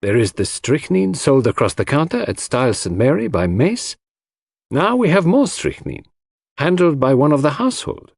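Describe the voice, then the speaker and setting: An elderly Belgian gentleman detective acted out by a male audiobook reader, enigmatic and self-assured. His speech is precise and courtly, rising and falling widely in pitch. The voice is lifted and slightly husky, recorded very dry. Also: very animated, normal speed, no room sound, heavily acted. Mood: pensive. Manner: precise, courtly phrasing sprinkled with French address. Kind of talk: audiobook character voice.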